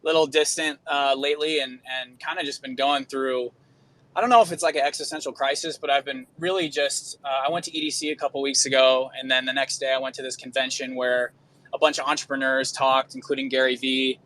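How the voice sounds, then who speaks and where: A man's voice: medium pitch, steady tone, brisk, fairly bright tone, poor audio. A man talking casually as a guest musician, in an informal, rambling personal monologue full of slangy filler phrases. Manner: informal, rambling, frequent "dude" and "you know".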